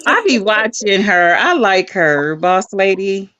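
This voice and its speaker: A woman's voice: mid-range voice, animated, slow pace, a bit bright. A playful woman in her fifties with a mid-range voice that moves up and down a lot. She chats loosely and colloquially as a co-host. The audio is a little dull and lo-fi. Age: 50s. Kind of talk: casual chat on a live stream.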